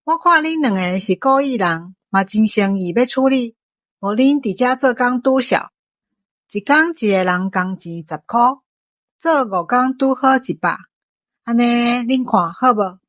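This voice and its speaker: A woman's voice: on the high side, lively intonation, measured pace, no echo. Woman playing a shrewd restaurant boss lady, dry close recording. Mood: firm, reasonable. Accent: Taiwanese. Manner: businesslike, authoritative. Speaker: voice actor in an audio drama. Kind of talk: Taiwanese radio drama dialogue.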